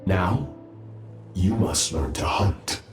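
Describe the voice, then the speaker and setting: An actor playing a cold, imperious armored abyssal master in a video game, with solemn, grandiose pronouncements. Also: male voice, sits low, expressive, slow. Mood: stern, commanding. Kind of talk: dramatic video game voice acting. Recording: echoing voice over game music.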